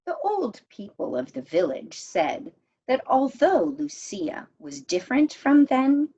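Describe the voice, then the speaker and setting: A woman reading a children's picture book aloud in a measured, expressive storytime manner, as if to young listeners. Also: on the low side, slightly rough, poor audio. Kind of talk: read-aloud storytime narration.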